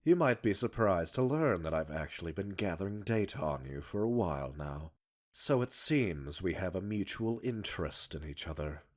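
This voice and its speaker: A man's voice: low-pitched, lively intonation, moderate pace. A man playing a smug, arrogant male professor in an ASMR roleplay, erudite and teasing, flirtatious and condescending as he talks like a scientist. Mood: teasing, intense. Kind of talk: ASMR roleplay audio drama.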